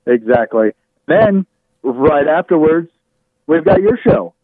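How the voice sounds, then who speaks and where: A man's voice: a little high, huge pitch swings, takes it slowly, gravelly, dry recording. A man chatting casually as an interview guest who works in radio, heard over a remote call. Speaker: guest, online radio host. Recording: tinny, phone-like remote line.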